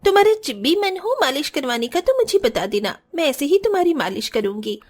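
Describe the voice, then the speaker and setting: Woman acting a very high-pitched young cartoon sister-in-law. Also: sing-song, a little fast, faint music behind. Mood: affectionate. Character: kind, protective young sisters-in-law and their gentle young student sister-in-law. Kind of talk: Hindi cartoon story acting.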